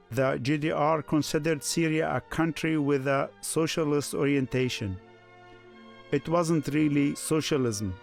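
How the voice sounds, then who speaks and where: An actor playing a Syrian man looking back on his student years, narrating in a measured, reflective way. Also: a little high, gravelly. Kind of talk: scripted first-person documentary narration.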